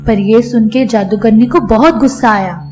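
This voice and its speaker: A woman narrating a scripted children's fairy tale in a measured storytelling manner. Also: fairly high pitch, darkish tone, faint music behind.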